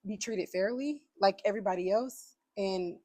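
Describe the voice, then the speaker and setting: A woman hosting a podcast conversation, animated and affirming, quick to laugh along. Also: medium pitch, no echo.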